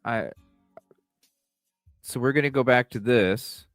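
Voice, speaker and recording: A man hosting a livestream with casual, halting reaction commentary, often repeating himself. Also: mid-range voice. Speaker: livestream host. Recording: roomy, music playing behind. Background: background music.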